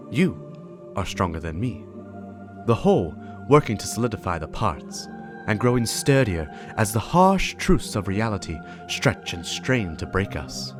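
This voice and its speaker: A man playing a grave veteran warrior and mentor, giving a measured, oratorical dramatic reading of game lore with weighty pauses. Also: on the low side. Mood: solemn, resolute. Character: solemn veteran warrior mentor passing on a cursed weapon.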